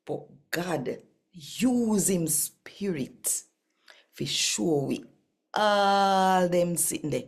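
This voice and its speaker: A Jamaican woman with a husky, gravelly voice, hosting a scripture reading channel in a deliberate, emphatic manner. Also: lowish voice, expressive. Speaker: host and scripture reader.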